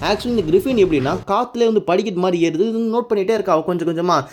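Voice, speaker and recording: A man's voice: high voice, racing, a little hiss or hum. A man recapping a story casually and informally, rambling along and mixing in English words. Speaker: YouTube anime recap narrator.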